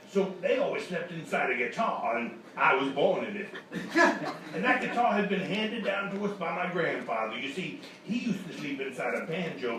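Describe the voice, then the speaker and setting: A man acts out an old blues musician in a radio comedy sketch, folksy and genial. His voice is on the high side and heard from far off in a very echoey, noisy space. He rambles and chuckles through his tales. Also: a bit bright. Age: older. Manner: folksy, rambling, chuckling storyteller with halting repeats. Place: live show in a hotel room. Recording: echoey live stage, distant mic, noisy.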